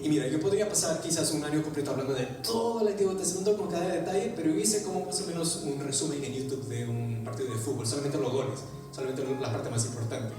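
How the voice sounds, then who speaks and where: Preacher with an English accent, echoey hall. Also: male voice, on the high side. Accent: English-speaking accent in Spanish. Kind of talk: sermon. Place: church sanctuary. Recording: echoey church hall, distant mic, music behind.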